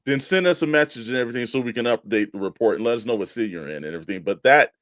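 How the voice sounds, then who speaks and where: A man's voice: mid-range voice, animated, rapid-fire, fairly bright tone, no echo, studio quality. A man with an American accent co-hosting a toy collectors' show in casual, bantering talk. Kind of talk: casual banter, sponsor reads and mock weather report.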